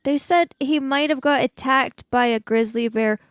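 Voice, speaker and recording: A woman's voice: sits high, moderate pace, a little hiss or hum. A woman chatting casually and improvising dialogue as a player in a tabletop roleplaying game.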